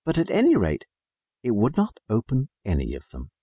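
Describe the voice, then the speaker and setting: A man narrating a children's storybook as a scripted audiobook, in an expressive storytelling manner. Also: mid-range voice, moderate pace, breathy edge, no echo.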